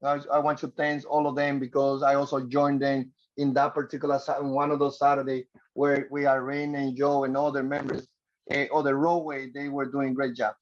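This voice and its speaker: A man from the Republic, a transportation official giving earnest, halting testimony at a council hearing. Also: mid-range voice, little pitch movement, muffled recording. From Dominican Republic. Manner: earnest, halting, repeats words.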